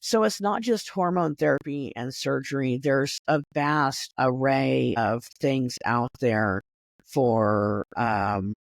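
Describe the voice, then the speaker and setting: A middle-aged man hosting a podcast, his voice sitting fairly high for a man and set in a middle pitch. He talks in a chatty, rambling way with lots of restarts and fillers. It is recorded close and dry, with no room sound. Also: takes it slowly, creaky, no echo. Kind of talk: casual conversation.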